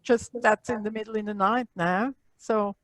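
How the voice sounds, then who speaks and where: A woman's voice: medium pitch, steady tone, moderate pace. A middle-aged woman from Switzerland, hosting a library video call in a chatty, friendly way, talking into earbuds. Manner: friendly, chatty, laughs easily. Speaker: library workshop host. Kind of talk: casual video call chat. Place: small office with green wall. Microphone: earbud mic on video call.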